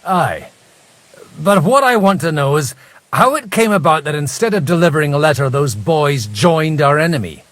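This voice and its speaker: An actor playing a self-assured, dry-witted medieval adventurer in a game, assertive and sardonic. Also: male voice, a little high, expressive, normal speed, a bit bright, no echo. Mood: dry, questioning.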